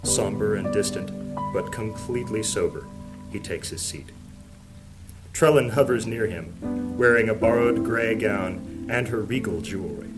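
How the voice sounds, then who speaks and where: A man's voice: fairly low pitch, unhurried, natural voice, low-quality recording. A man narrating a scripted fantasy audio drama as a solemn storyteller. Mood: solemn, descriptive. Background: plucked guitar music.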